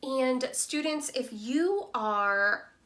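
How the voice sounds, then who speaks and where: A woman reading a picture book aloud like a teacher, deliberately, with asides to young students. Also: sits high, animated, a bit bright.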